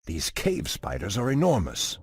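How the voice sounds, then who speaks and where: An actor voicing an ancient, noble night elf archdruid in a video game, with a husky, solemn, formal and theatrical delivery. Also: male voice, lowish voice, huge pitch swings, normal speed, dry recording, clean studio sound, full character voice. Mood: grave. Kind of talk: fantasy video game character dialogue.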